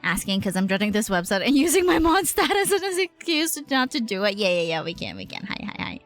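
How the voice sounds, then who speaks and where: Young lady gamer streamer, slangy casual chat, pitch jumps around, music in the background. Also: wildly swooping pitch, brisk. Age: young adult. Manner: slangy, giggly, chatting with viewers. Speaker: game livestreamer. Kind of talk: casual chat while gaming. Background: game music.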